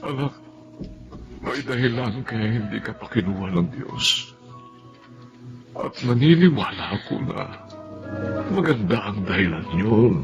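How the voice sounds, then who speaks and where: An actor playing a gentle, patient middle-aged father in a Filipino radio drama, earnest and consoling. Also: lowish voice, animated, a little slow, long pauses, highs cut off, music in the background. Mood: pleading, consoling. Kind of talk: Tagalog radio drama acting.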